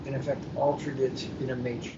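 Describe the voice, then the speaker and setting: A dull, noisy live recording with a low rumble carries an older man's medium-pitched voice. He is a guest editor in a panel discussion, speaking emphatically and deliberately, with pauses in mid-sentence. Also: little pitch movement. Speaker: book editor, podcast guest. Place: hotel at a convention.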